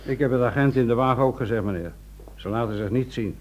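An actor playing a deferential police assistant in a Dutch radio play, obedient and businesslike. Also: male voice, medium pitch, fast, close to an everyday voice. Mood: dutiful. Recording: dull, faintly noisy radio recording.

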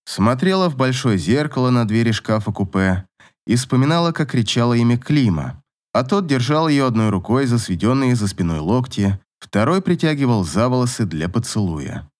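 A man's voice: mid-range voice, sing-song, moderate pace. A man reading scripted narration from a romance novel as an audiobook narrator.

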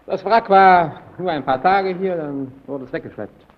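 Actor as guarded lighthouse man, higher-pitched, muffled recording. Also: moderate pace, close to an everyday voice. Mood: reticent, uneasy. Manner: terse, reticent, defensive. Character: guarded, evasive, brooding male lighthouse technician. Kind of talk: old German radio play acting. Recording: dull, noisy old radio recording.

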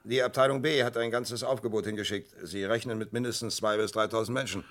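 Male actor as casual, cheeky junior cop, lowish register, 1970s radio play. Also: steady tone, fast talker, fairly bright tone, natural voice. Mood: casual, flippant. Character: laid-back, flippant male police assistant. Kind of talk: 1970s German radio crime drama acting.

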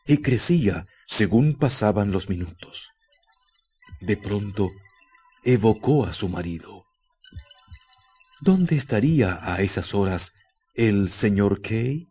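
A man narrating a scripted radio drama with deliberate, dramatic phrasing. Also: fairly low pitch, dark tone, husky.

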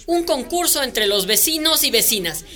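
Upbeat, high-voiced radio presenter. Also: female voice, very animated, moderate pace, bright, forceful tone, full character voice. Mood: upbeat presenting. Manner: welcoming, announcing, encouraging. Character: upbeat community radio presenter hosting an inauguration. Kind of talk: radio drama acting, event presenting. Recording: music behind the voice.

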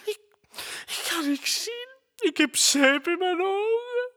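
An actor playing a vain, childish, pompous emperor with a Flemish accent in a comic children's radio drama. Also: male voice, extremely high pitch, expressive, takes it slowly, pushed and bright, heavily acted. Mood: whiny. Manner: pompous, dithering, theatrical.

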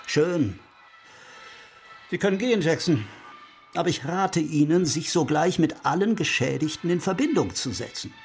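An actor playing an officious, exasperated middle-aged district attorney in a radio crime drama, questioning in a formal, clipped way. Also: male voice, a little high, animated, moderate pace, soft background music. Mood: stern, formal.

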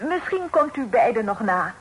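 An actress playing a fussy, nervous, well-to-do aunt in an old radio play. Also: female voice, fairly high pitch, very animated, normal speed, slightly rough, rough recording. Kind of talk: radio drama acting. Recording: old radio play with faint crowd noise.

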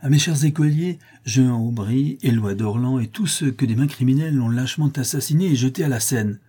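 A man voicing a defiant young male scholar who speaks in a declamatory, accusing way with a husky voice. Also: lowish voice, normal speed, warm tone.

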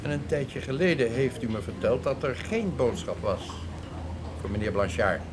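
An actor playing a stern, suspicious, businesslike investigator in a radio play, on a noisy old recording. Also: male voice, medium pitch, lively intonation, normal speed, natural voice.

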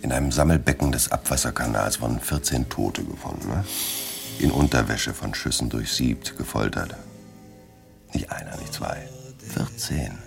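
An actor playing a weary, fearful but dogged middle-aged crime writer, brooding and wry, in a German radio drama. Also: male voice, a bass voice, very animated, normal speed, music in the background, exaggerated voice. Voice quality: gravelly.

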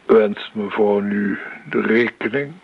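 An actor playing a polite, deferential but insistent waiter in a radio drama, speaking in a formal, measured way. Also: male voice, on the low side, fairly even intonation, gravelly. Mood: courteous. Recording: muffled, noisy old radio play recording.

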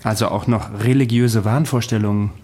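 Ein Schauspieler spielt in einem Hörspiel einen müden, ironischen Patienten, der sich für unsterblich hält, trocken und untertrieben. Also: male voice, lowish voice, steady tone, a little fast, warm tone, natural voice. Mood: wry. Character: weary sardonic male patient who claims immortality. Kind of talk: radio drama dialogue.

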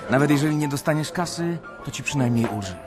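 An actor playing an older, worldly analyst giving cynical advice in a radio drama, over music. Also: male voice, a little high, expressive, fast talker, natural voice.

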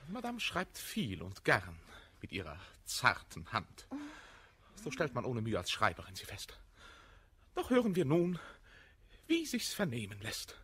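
A self-satisfied aristocratic accuser in a classical radio comedy. His voice is very husky, of middling pitch and lively in its movement. The delivery is mannered, sardonic and mocking. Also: a little slow, heavily acted. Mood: mocking, reading aloud.

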